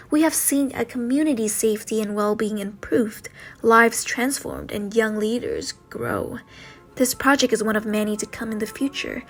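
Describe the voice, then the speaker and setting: Higher-pitched woman narrating a promotional script.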